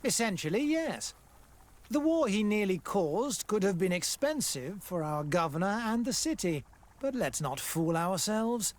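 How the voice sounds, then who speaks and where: A man's voice: high voice, big pitch swings, a little slow. An actor playing a formal, pompous male scientist who runs a colonial laboratory in a fantasy game. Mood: coldly dismissive. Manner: formal, pompous, loftily dismissive. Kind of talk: scripted fantasy game acting.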